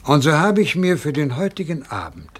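Older eccentric colonel, radio crime drama: highish swooping voice, genial, sly, deliberate, theatrical. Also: male voice, very animated, breathy edge. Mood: genial, urbane. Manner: theatrical, deliberate, savouring his words. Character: older eccentric colonel, genial, boastful, scheming country-house host.

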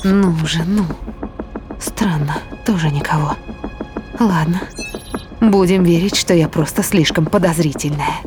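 Actress as a low-voiced suspicious woman fighter, music behind. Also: measured pace, long pauses, close to an everyday voice. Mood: wary, pondering. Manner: muttering questions and remarks to herself. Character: tense, suspicious spacefaring woman fighter, muttering to herself. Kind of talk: audio drama acting.

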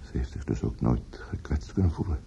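An actor in a radio play playing a defensive, grieving older man, earnest and halting, often repeating words. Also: a bass voice, lively intonation, vocal fry, warm tone, exaggerated voice. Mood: hesitant, explaining. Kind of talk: Dutch radio play acting. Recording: dull, noisy old radio recording.

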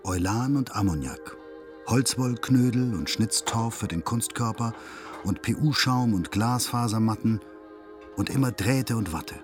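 An actor playing a detached narrator of a macabre radio drama, listing details in terse, clinical phrases. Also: male voice, on the low side, unhurried, close to an everyday voice. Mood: clinical, ominous. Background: music.

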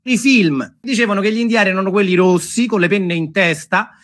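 High, bright-voiced male storyteller. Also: a little fast. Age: 40s. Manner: conversational, playful, mimics sounds with the mouth. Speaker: storyteller, theatre actor. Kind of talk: theatrical monologue storytelling.

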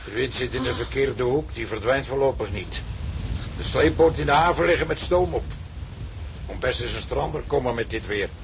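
An actor playing a gruff, practical older father from a seafaring family in a radio drama, with a gravelly voice. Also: medium pitch, lively intonation, moderate pace, a little hiss or hum. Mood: matter-of-fact. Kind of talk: Dutch radio drama acting.

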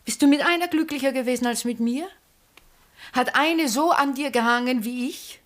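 An actress playing a vivacious, effusive middle-aged former stage star with an Austrian accent in a 1960s radio drama. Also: female voice, high voice, normal speed, close to an everyday voice. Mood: wistful reproach. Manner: theatrical, effusive, often breaking into laughter. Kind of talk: 1960s German-language radio drama acting.

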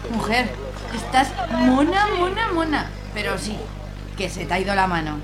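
Radio play market woman, acted by a woman: bossy, teasing, exclamatory, fairly high voice, music behind. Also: very animated, normal speed. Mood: chiding.

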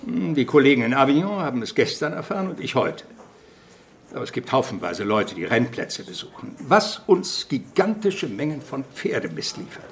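An actor playing a worried, meticulous male police commander, a loyal deputy explaining things deliberately and hesitantly in a crime radio drama. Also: mid-range voice, big pitch swings, slight background noise. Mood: dry, pedantic.

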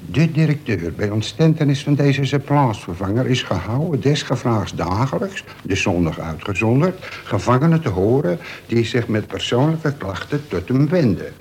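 A man's voice: medium pitch, sing-song, normal speed, few pauses, a little mellow. A man acting a cynical, coarse-talking veteran convict in a Dutch radio play. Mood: knowing, instructive. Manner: coarse slang, reels off regulations by rote. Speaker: actor. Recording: faintly noisy old radio play recording.

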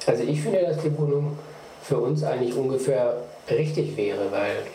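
A man's voice: medium pitch, expressive, moderate pace, warm tone. A man chatting casually, as a father does with his child. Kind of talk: casual chat. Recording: echoey room, faint background noise.

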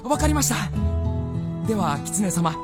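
A woman's voice: medium pitch, big pitch swings, normal speed, over music, natural voice. A woman acting as a hot-headed, naive young hunter boy in a radio drama, blustering and stammering in rustic boyish speech. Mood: awed, eager.

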